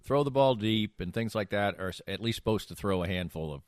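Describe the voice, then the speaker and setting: A man's voice: on the low side, animated, a little fast, no echo, clean studio sound. An American man hosting casual sports banter, emphatic and opinionated in the way he talks. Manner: emphatic, opinionated, mockingly acts out imagined quotes. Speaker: sports talk show host.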